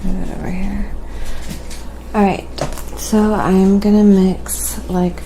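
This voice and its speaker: A woman hosting a casual ASMR painting livestream, chatting in an unhurried way and thinking aloud to her viewers. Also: medium pitch, flat delivery, background noise. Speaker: live stream host and painter.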